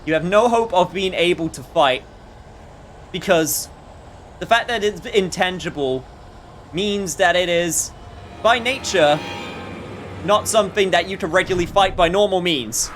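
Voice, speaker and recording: A man giving casual, rambling, jokey live commentary while he plays a video game, with animated outbursts. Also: high voice, measured pace, pauses often, a little hiss or hum. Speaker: streamer playing a video game.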